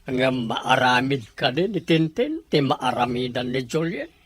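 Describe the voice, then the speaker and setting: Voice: mid-range voice, big pitch swings, a little slow, a bit bright, husky, heavily acted. An actor with an Ilocano accent playing a sly, scheming household relative in a radio drama.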